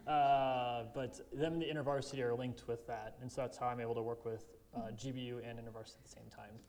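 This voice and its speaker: A man's voice: mid-range voice, flat delivery, fast talker, darkish tone, slight background noise. Casual joking young man presenting. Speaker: campus ministry staff. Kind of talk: presentation with slides.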